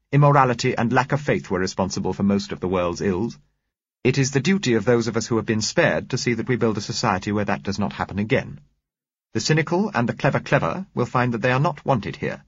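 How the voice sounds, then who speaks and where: A man voicing a stern, self-righteous woman community leader in an audiobook, with a sharp, clipped, sanctimonious manner. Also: fairly low pitch, husky, no echo, lo-fi sound, pitched above the performer's own voice.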